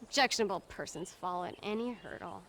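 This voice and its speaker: A woman's voice: medium pitch, fairly quick, fairly bright tone, slight background noise, natural voice. A woman playing a haughty, zealous cult recruiter in a video game cutscene, preaching in grandiose, solemn pronouncements. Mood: grandiose, insistent. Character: zealous, haughty female cult recruiter and self-styled queen.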